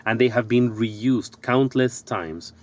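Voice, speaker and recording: A middle-aged man from Ecuador, an architect and business coach, talking thoughtfully in a podcast interview, pausing and restarting his sentences often. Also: mid-range voice, darkish tone.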